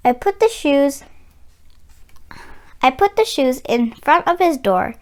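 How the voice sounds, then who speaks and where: A woman reading a children's picture book aloud as host, with expressive, dramatic and deliberate phrasing. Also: sits high. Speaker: read-aloud channel host, storyteller.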